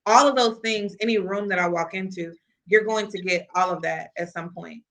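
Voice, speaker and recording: Brief: young woman from the Midwest, podcast guest, earnest, lowish pitch, bright tone. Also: normal speed, low-quality recording. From Kansas City, US Midwest. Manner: earnest, reflective, frequent "you know" fillers. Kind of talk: casual conversational interview.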